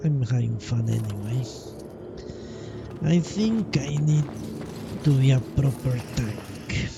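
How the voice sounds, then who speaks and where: Slow-talking gravelly man streaming a game. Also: mid-range voice, animated, music in the background. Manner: self-deprecating, resigned, mildly profane. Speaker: livestream gamer. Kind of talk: casual live gameplay commentary.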